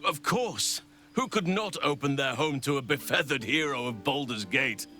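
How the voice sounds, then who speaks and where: An actor playing a kindly old druid in a video game, speaking in a measured, reflective way with formal wording. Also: male voice, mid-range voice, lively intonation, pushed and bright, faint music behind. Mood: fond, pleased. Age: older. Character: gentle, kindly old druid man, wise and fond of children. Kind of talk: video game character dialogue.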